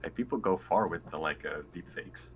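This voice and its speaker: A man playing a tabletop game, chatting casually in a halting, hesitant way. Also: low-pitched, animated. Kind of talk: casual chat.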